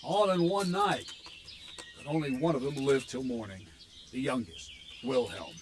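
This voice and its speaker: An actor playing a gruff, blunt medieval army captain in a video game cutscene, telling a grim tale in a steady, weighty way. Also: male voice, medium pitch, unhurried, slight background noise, natural voice. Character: gruff middle-aged male army captain. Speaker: military captain.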